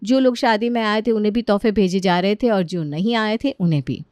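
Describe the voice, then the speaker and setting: A woman's voice: medium pitch, brisk, a little mellow, no echo. A woman reading a scripted story as narrator.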